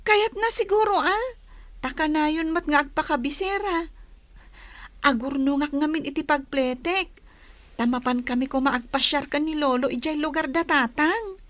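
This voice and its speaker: A woman's voice: high voice, normal speed, a bit bright, dry recording. A woman playing a homesick, plaintive young granddaughter from a village in an Ilocano radio drama. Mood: earnest.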